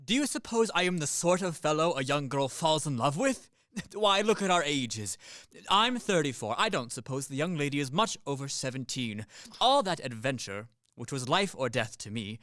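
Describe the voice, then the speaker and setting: Man acting a practical Swiss captain, thirties, lively swings in pitch, emphatic, matter-of-fact, radio stage comedy, close dry sound. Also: moderate pace, no room sound. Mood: rueful, exasperated. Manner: matter-of-fact, emphatic, reeling off lists and figures.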